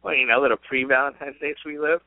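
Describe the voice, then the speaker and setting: A man's voice: medium pitch, fairly even intonation, fast, no echo. Teasing man, casual podcast co-host, tinny phone sound, dry. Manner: teasing, joking. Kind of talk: casual sports podcast chat.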